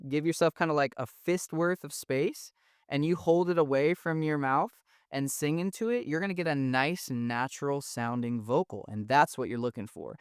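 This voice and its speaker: Relaxed, slangy man teaching audio gear. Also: a little high, moderate pace, clean tone, dry recording. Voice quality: thin, mid-range voice. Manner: relaxed, slangy, enthusiastic explaining. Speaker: audio engineering instructor. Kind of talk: casual explanatory lesson. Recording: dry, close studio sound.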